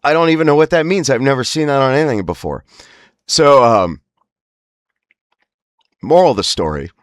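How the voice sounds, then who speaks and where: A man's voice: fairly low pitch, dry recording, clean studio sound. A man with a strained, spasmodic voice telling a casual, wry story as host of his own show, rambling and emphatic. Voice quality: spasmodic, strained breaks from spasmodic dysphonia. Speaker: host of a lifestyle series about a voice disorder. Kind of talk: casual anecdotal monologue.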